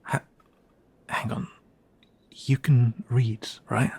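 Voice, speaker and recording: A man acting a gravelly, wary cat-person guide. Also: mid-range voice, flat delivery, drawn-out words, darkish tone, in a whisper, heavily acted. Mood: unsure, searching. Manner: hesitant, casual, conversational asides. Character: wary young male cat-person guide in a fantasy town. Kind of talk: fantasy audio roleplay drama, speaking to the listener.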